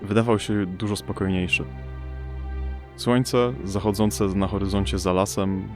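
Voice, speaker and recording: A man playing a somber investigator who narrates an audio drama in a measured, observational way. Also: on the low side, level tone, natural voice. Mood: quiet reflection. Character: somber male investigator searching a storm-struck family home. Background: music behind the voice.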